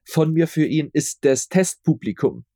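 Man, halting casual storyteller, dry sound. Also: fairly high pitch, dark tone, no echo. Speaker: interview guest, audio drama producer. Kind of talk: casual interview chat.

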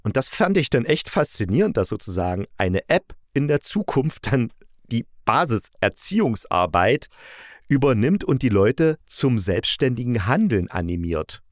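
A man's voice: medium pitch, very animated, normal speed, no room sound. Enthusiastic man in a dry recording. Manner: colloquial, enthusiastic, often breaking off to correct himself. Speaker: podcast host. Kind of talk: casual spoken audio drama review, unscripted.

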